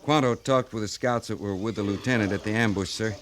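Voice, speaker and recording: A man's voice: on the low side, a little fast, fairly bright tone, lightly acted. Male sergeant, acted, 1950s radio western: plainspoken, dutiful, easygoing, on a plainly noisy vintage recording. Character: dutiful, easygoing male army sergeant, frontier scout liaison.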